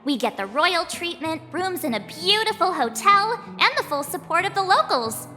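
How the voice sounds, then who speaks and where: A woman's voice: extremely high pitch, very animated, moderate pace, bright, forceful tone, in a large hall, faint music behind, exaggerated voice. An actress playing a bubbly, cheeky teenage adventurer girl in a video game, with a breezy, slangy, dramatic delivery. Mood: playful, excited. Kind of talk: English video game voice acting.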